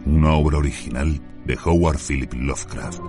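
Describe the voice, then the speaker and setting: A male announcer reads titles slowly and dramatically. His voice is extremely deep. Loud music plays along with it. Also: fairly even intonation.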